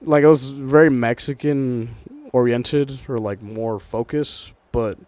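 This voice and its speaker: A man's voice: mid-range voice, steady tone, takes it slowly, darkish tone, no room sound. A young man from Southern California chatting casually with friends on a podcast, with slangy, profane speech. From Southern California, United States. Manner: profane, slangy, fond of filler phrases. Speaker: podcast host.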